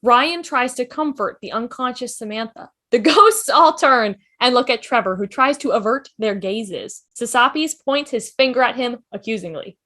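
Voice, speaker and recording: Woman narrating script directions for a table read, higher pitched voice. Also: lively intonation, normal speed, few pauses, bright, forceful tone. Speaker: stage directions reader.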